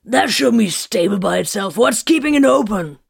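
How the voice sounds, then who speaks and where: Woman doing a gravelly grumbling warrior priest. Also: mid-range voice, lively intonation, moderate pace, no room sound, studio quality, voice pushed up, full character voice. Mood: gruff. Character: gruff male warrior rune priest, grumbling.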